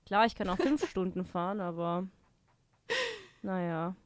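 A woman's voice: lowish voice, animated, a little slow, dry recording. Chatty Swabian woman, dry studio sound. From Baden-Württemberg, Germany. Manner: chatty, reads listener messages aloud. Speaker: podcast co-host. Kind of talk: casual chat.

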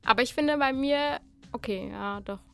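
A woman's voice: sits high, normal speed, vocal fry, fairly bright tone, clean tone. A young woman from the Ruhr area chatting casually as a guest on a radio show, outspoken and laughing often. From Ruhr area, Germany.

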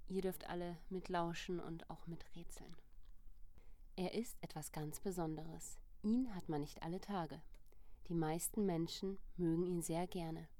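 A woman's voice: on the low side, dark tone. A woman co-hosting a podcast, laughing often as she guesses answers and reads riddles aloud. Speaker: podcast co-host. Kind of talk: casual quiz chat.

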